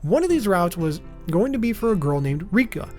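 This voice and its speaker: A man's voice: high-pitched, very animated, fairly quick, darkish tone, soft background music. A man narrating an explainer video about a visual novel.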